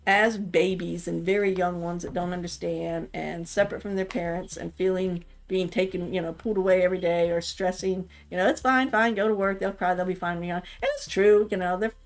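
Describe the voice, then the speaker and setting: A woman's voice: on the low side, steady tone, brisk, few pauses. A middle-aged woman from the Washington, D.C. area, talking casually about raising kids and rambling with lots of "you know"s. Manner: rambling, thinks aloud with many "you know"s. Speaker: mother of the streamer. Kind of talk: casual chat about parenting.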